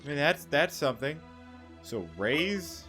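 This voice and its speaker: A man's voice: fairly high pitch, lively intonation, measured pace, fairly bright tone. Casual male gamer over game music. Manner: wry offhand reactions. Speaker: gaming streamer. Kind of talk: casual gameplay commentary. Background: video game music.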